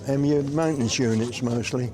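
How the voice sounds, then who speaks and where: A man's voice: mid-range voice, moderate pace. An older man, a war veteran, casually recalling his service in a matter-of-fact way during an interview. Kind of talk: casual recollection. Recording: outdoor crowd chatter behind.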